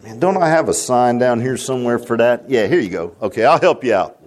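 A man's voice: lively intonation, a little fast, a little mellow. A man preaching a sermon to his congregation in church, repeating himself and calling out to the crowd. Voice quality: deep voice. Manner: repeats and restarts, calls out to the congregation. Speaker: pastor. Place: church sanctuary.

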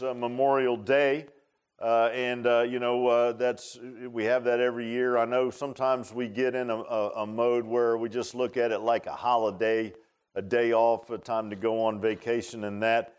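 An American man in his sixties preaching an informal sermon in a halting, folksy, conversational way, often repeating words. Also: medium pitch, clear, resonant tone. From United States. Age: 60s. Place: speaking in a church.

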